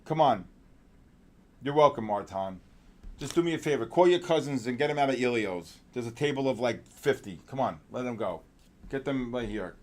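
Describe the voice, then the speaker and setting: A man's voice: medium pitch, animated. A middle-aged man from New York with a New York accent, hosting a live trading stream in casual, rambling, jokey chat and calling out viewers by name. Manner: drawn-out announcer-style calls. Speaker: trading livestream host.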